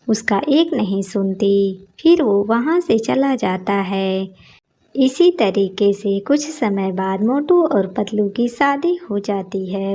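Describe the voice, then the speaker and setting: A woman's voice: medium pitch, mellow. A woman narrating a scripted cartoon story as a storyteller.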